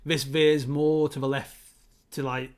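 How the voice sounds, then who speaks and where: A man's voice: fairly high pitch, level tone, moderate pace. A man co-hosting a casual film discussion, speaking in an analytical, emphatic way and wandering off into side points. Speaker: podcast co-host.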